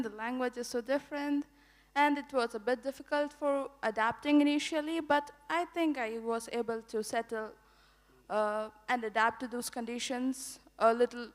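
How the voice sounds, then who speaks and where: Higher-pitched Indian woman in a reverberant hall. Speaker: PhD student panelist. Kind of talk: informal self-introduction on a panel. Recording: reverberant hall over microphone.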